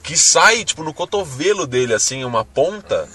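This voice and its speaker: A man's voice: normal speed, slightly rough. A man with a Brazilian Portuguese accent, co-hosting a casual film-review podcast and talking animatedly with plenty of swearing. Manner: lively, animated, swears freely, jumps into high-pitched outbursts. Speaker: podcast co-host.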